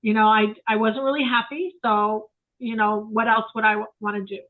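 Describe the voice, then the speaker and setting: A woman's voice: fairly high pitch, steady tone, normal speed. A woman around sixty, a photographer guest, talking in a rambling, reflective way with many fillers over a remote call. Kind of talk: casual interview chat. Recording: tinny phone-like line.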